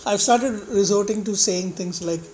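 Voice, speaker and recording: A man with a slightly high-pitched voice, ranting casually to his vlog audience in a rambling, blunt way and swearing at critics. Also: moderate pace, a little mellow, slight background noise. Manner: rambling, with restarts and asides. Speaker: food vlogger. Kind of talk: casual rant to camera while eating.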